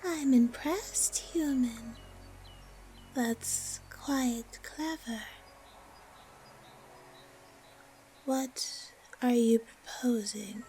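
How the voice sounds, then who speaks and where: A woman playing a haughty, suspicious, disdainful spider monster in a fantasy audio roleplay, with a breathy, often whispery voice. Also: a little high, mellow, slight background noise. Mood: intrigued. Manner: slow, disdainful, haggling.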